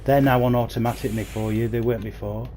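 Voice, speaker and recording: A man's voice: mid-range voice, fairly even intonation, fast, warm tone, slight background noise. A man chatting enthusiastically to viewers by name as he streams a bus game, speaking into a headset mic. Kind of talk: casual livestream commentary. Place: at home playing on a console. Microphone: new gaming headset.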